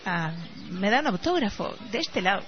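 A woman's voice: medium pitch, sing-song, normal speed, a little hiss or hum, lightly acted. A woman playing a chatty, gushing older housemaid and devoted fan in an Argentine radio play. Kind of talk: amateur radio play acting. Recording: dull lo-fi radio recording.

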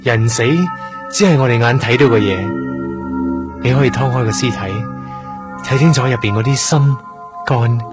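A man's voice: mid-range voice, fairly even intonation, lightly acted. Earnest young doctor, acted by a man, music underneath. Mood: earnest, thoughtful. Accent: Hong Kong. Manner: measured, explanatory, earnest. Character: young male trainee doctor, earnest, curious researcher of death. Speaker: voice actor in a radio drama. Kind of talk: Cantonese radio drama acting, explanatory dialogue.

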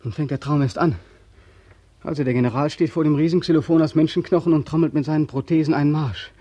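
An actor playing a weary, haunted ex-soldier in a 1940s radio drama, pleading and obsessively repeating himself. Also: male voice, a little high, steady tone, fast talker, mellow, no echo, lo-fi sound, close to an everyday voice. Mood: feverish. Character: weary, haunted ex-soldier back from war, insistent.

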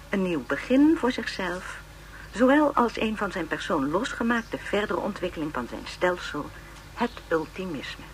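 Radio play part: donnish educational-film narrator played by an actor, pompous, formal, lively melodic delivery. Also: mid-range voice, a little hiss or hum, close to an everyday voice, poor audio. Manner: measured phrasing, pompous, donnish.

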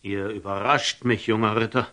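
An actor playing a wise, cautious fatherly merchant in a classical German radio drama, speaking gently and deliberately in standard German. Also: on the low side, fairly even intonation. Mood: cautious, thoughtful.

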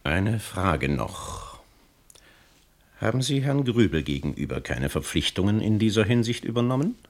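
An actor in a 1960s German radio play, playing a calculating political negotiator, formal, pointed and emphatic. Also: male voice, on the low side, very animated, moderate pace. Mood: insistent.

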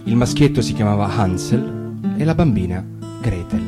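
A man's voice: fairly low pitch, normal speed. A male actor narrating a fairy tale expressively for radio. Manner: warm storytelling with lively emphasis. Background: guitar music.

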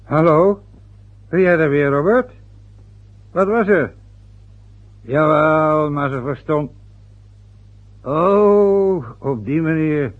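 An actor playing a pompous, gruff older father in a radio play, formal and impatient in his manner. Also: on the high side, takes it slowly, long pauses. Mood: hearty, formal. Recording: dull old radio recording.

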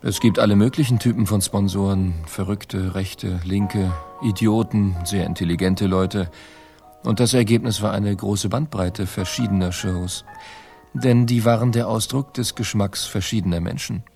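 Dark, creaky, low-voiced man. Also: fairly even intonation, normal speed, soft background music.

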